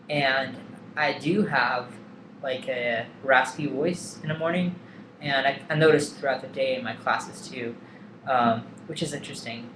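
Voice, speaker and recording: A young man talking casually and candidly to camera, hesitant with many ums and likes. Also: mid-range voice. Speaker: vlogger. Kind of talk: casual personal vlog. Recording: echoey room, faint background hum.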